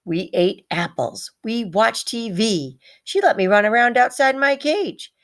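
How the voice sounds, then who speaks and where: A woman's voice: on the high side, lively intonation, a little slow, a bit bright, pitched above the performer's own voice. A woman voicing a small, proud, heartbroken male pet hamster in a dramatic children's storybook read-aloud, repeating words for emphasis. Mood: proud, pleased.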